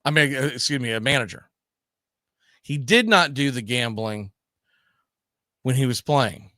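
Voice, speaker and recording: A male talk show host giving sports history commentary in a medium-pitched voice. He tells it like a story, leaning on key points with emphasis. The sound is dry, with very little room on it. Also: expressive, measured pace, sharp tone, no echo.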